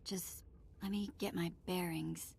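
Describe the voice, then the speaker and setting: A woman's voice: lowish voice, steady tone, measured pace, vocal fry, darkish tone, slight background noise. A woman playing a plucky, cheeky slave companion and guide in a video game, informal and spirited. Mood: eager.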